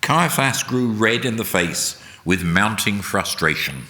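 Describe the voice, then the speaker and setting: A man reading a solemn, dramatic narration in a deliberate, emphatic oratorical way. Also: fairly low pitch, expressive, pushed and bright. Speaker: reader at a church service. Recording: long hall reverb.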